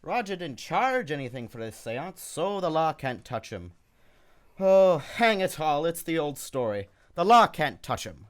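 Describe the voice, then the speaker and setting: A man acting a streetwise newspaper reporter in a community radio drama, blunt and excitable. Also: a little high, measured pace. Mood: worked up. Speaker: radio actor. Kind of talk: old-time radio drama.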